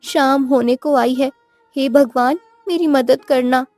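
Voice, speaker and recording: A worried, determined young village wife in a cartoon tale , voiced by a man. The voice is pitched high and pleads anxiously, with music underneath. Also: steady tone, slow, a little mellow, no room sound.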